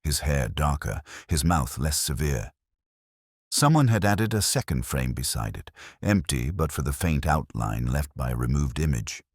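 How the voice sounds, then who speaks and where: A man narrating a first-person detective story in a measured, literary, brooding manner. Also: rumbling low, animated, slightly rough, dry recording, studio quality.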